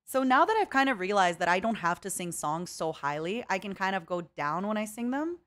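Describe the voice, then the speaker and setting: A woman's voice: mid-range voice, sing-song, fairly quick, a bit bright. A young woman streaming a casual chat with viewers, rambling and reading out chat messages. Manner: chatty, rambling, reads chat aloud.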